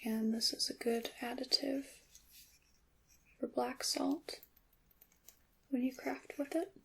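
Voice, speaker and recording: A woman playing a gentle, knowing witch in an ASMR roleplay, speaking in a breathy half-whisper with deliberate, slightly formal phrasing. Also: sits high, fairly even intonation, full character voice, poor audio. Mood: hushed, instructive. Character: gentle, knowing witch teaching her craft. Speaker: ASMR performer.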